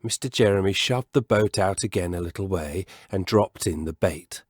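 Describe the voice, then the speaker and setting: A man telling a children's story as a scripted, expressive reading, measured and savouring the descriptive words. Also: sits low, breathy edge, dry recording, clean studio sound.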